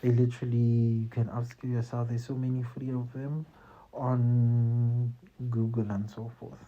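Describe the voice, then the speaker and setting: Dark, husky-voiced man giving career advice. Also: mid-range voice, highs cut off. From South Africa. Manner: conversational, repeats phrases, tags lines with "you see" and "man". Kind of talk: casual talk-to-camera advice.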